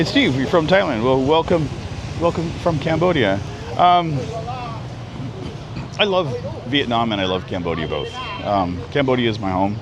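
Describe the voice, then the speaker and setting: Rambling man in his fifties outdoors. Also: mid-range voice. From Canada. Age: 50s. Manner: rambling, chatty, many ums and restarts. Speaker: travel vlogger. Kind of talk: casual live-stream vlog. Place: outdoors at a busy market street. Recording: street traffic noise outdoors. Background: traffic and street noise.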